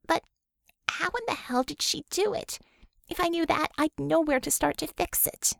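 Woman playing a brisk, motherly medic boss, high-voiced. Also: gravelly, a bit bright, no room sound, clean studio sound, heavily acted. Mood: frustrated worry. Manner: informal, salty, reassuring yet bossy.

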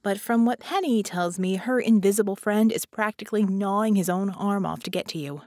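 Narrator as woman mage, confident, brisk, wry, businesslike, fantasy audiobook character. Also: mid-range voice, a little mellow, dry recording, clean studio sound, natural voice.

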